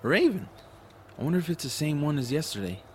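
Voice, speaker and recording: A man's voice: a little high, big pitch swings, fast talker, very noisy. A man playing an adventurous, skeptical young boy in an audiobook drama, telling his story expressively. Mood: startled, urgent. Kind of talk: audiobook first-person narration and acted dialogue.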